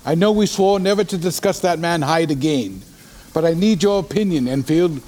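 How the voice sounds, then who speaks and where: A sober Victorian gentleman, acted by a man, with a fairly high voice. He speaks formally and with measured care, probing like a concerned friend in a period radio play. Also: natural voice. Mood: courteous. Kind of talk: period audio drama acting.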